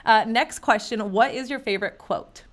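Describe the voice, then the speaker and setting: A woman's voice: a little high, moderate pace, a bit bright. A young woman from Northern California hosting a sports podcast interview, upbeat and enthusiastic, laughing often. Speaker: podcast host.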